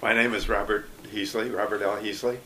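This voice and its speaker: An older man from Michigan recalling his past in a relaxed interview, speaking haltingly with frequent restarts and small chuckles. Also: on the low side, a little hiss or hum, rough recording. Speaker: oral history interviewee. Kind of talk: casual recollection.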